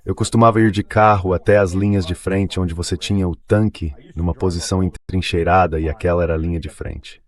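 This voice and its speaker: A man's voice: deep voice, level tone, brisk, hardly any pauses. A man voicing a dubbed translation of an interview guest, explaining things in a conversational, anecdotal way.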